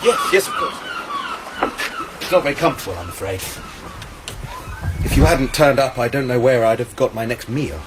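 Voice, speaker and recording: An agitated young student in a radio play. His pitch moves around a great deal as he anxiously explains himself, over faint background noise. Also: huge pitch swings, moderate pace, pauses often. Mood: nervous relief. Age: young adult. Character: anxious, agitated male student confessing to a fight. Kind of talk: radio drama acting.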